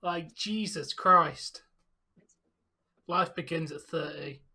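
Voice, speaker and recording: A young man in his late twenties with an English accent, joking and teasing his co-host. His voice sits high and sounds very bright, with plenty of banter. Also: takes it slowly. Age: 20s. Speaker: co-host of a live game reaction stream. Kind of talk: casual banter.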